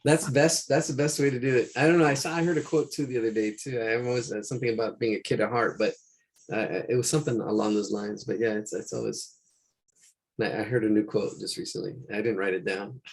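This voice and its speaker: Gravelly man, easygoing and agreeable. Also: fairly low pitch, steady tone, quick pace, poor audio. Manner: easygoing, affirming listener, frequent "yeah". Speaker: drawing class participant. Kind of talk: casual chat.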